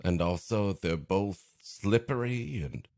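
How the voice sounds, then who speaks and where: Male comedy co-host, wry ad-libs, leisurely pace, expressive pitch, mock-serious delivery. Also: slow, dry recording, clean studio sound. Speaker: podcast co-host. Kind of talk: improvised comic commentary on a story.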